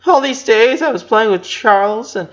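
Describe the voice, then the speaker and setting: A man's voice: high-pitched, moderate pace, fairly bright tone, lo-fi sound, voice pushed up. A man voicing a distraught, blunt little tomboy sister with a plaintive manner, in a story reading. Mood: upset, regretful.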